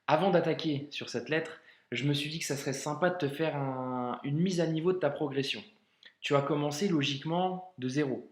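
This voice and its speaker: A man's voice: fairly high pitch, roomy sound. A French man teaching how to read Arabic, talking casually and reassuringly straight to the learner. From France. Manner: casual, reassuring, frequent "hein" and "d'accord". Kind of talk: friendly tutorial speaking directly to the learner.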